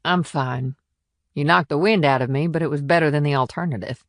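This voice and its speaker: A sardonic small-town policewoman in an audiobook thriller, performed by a woman. She is blunt and direct, in a slightly low female voice, recorded dry. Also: brisk, no echo. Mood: grateful.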